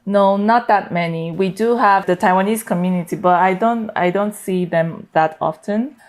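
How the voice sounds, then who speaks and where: A woman with a Nigerian accent chatting as a guest in a casual interview, relaxed and quick to laugh. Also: mid-range voice. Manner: relaxed, conversational, laughs often.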